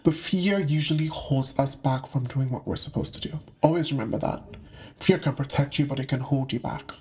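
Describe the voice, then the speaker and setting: A man's voice: mid-range voice, darkish tone, slightly rough. A young man from the UK giving a casual, slangy monologue to camera about spiritual topics, with playful asides. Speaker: spiritual content creator.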